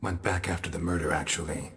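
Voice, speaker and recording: An actor playing a gruff, laconic monster hunter in a video game, with a gravelly, hushed voice and deadpan, clipped delivery. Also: male voice, low voice, quick pace. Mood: grave, pensive. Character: gruff, laconic male monster-hunting mercenary, world-weary and unflappable. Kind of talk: video game cutscene acting.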